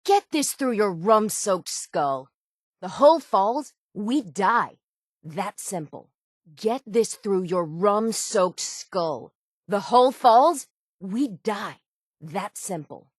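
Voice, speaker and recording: An actress playing a weathered, determined harbor seafarer in a video game, gruff and dutiful, with salty, old-fashioned folksy phrasing. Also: female voice, medium pitch, expressive, slow, no room sound, clean studio sound. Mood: scornful, stern. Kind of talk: video game character dialogue.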